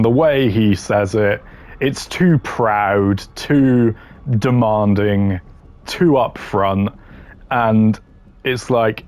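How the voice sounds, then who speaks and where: A man's voice: on the low side, a little mellow. A man giving casual, opinionated gaming commentary in a blunt, slangy way, ranking voice lines. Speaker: gamer ranking game voice lines.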